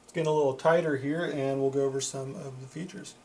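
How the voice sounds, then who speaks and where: A man walks through a product in a casual, plain-spoken way. His voice is on the mellow side and stays fairly level in pitch. There is some faint background noise in the recording. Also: fairly even intonation, fast talker. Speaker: product reviewer for a CB radio shop.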